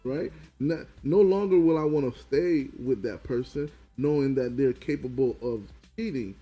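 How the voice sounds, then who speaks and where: A man's voice: mid-range voice, moderate pace, breathy edge, muffled recording, soft background music. A man co-hosting a relationship podcast in casual chat, pressing his point and repeating it. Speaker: podcast co-host.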